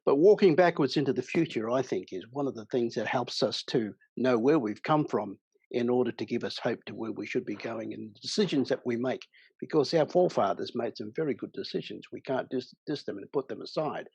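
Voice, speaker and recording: An older man talks in a measured, earnest way on a video call. His voice is gravelly and husky. The sound is dry and a little muffled. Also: medium pitch, expressive, darkish tone, no room sound. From Australia. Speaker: panelist. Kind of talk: panel discussion over video call.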